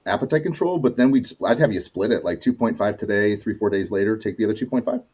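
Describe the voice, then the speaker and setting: A man co-hosting a casual livestream, chiming in with quick, short remarks and explanations. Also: on the low side, a little mellow, slightly rough. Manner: quick, brief interjections. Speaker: co-host.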